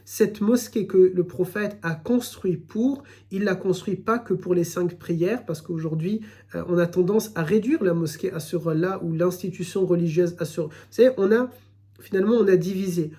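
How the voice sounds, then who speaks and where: A man giving a recorded religious lesson, explaining in a measured way and often repeating his phrases. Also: high voice, dark tone. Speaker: Islamic teacher.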